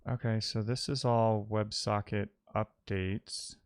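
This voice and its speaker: A man's voice: fairly low pitch, fairly even intonation, slow, smooth. A man live-streaming a coding session, casually thinking aloud and muttering as he troubleshoots, with wry asides. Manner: muttering to himself while troubleshooting.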